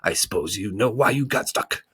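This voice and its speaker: A man barking as a gruff, impatient watchdog. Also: on the low side, expressive, moderate pace, a bit bright, dry recording, clean studio sound, full character voice. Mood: scolding. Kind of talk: amateur storybook reading.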